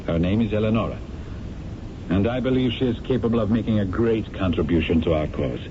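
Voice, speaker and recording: A man's voice: on the low side, brisk, close to an everyday voice. An actor playing a charismatic male leader of a secret society, formal and commanding, in a 1970s radio drama. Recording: muffled old radio broadcast with hiss.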